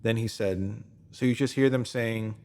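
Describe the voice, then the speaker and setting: A man with a fairly low voice narrates a motivational written piece. He reads earnestly and leans on key phrases for emphasis, in the manner of scripted self-help narration. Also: a little slow, darkish tone.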